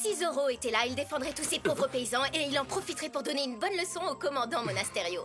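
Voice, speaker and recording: A woman's voice: high voice, expressive, fast. A woman playing a spirited, indignant young woman in a French cartoon dub, scolding and idealistic. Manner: scolding, feisty. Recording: loud music behind the voice.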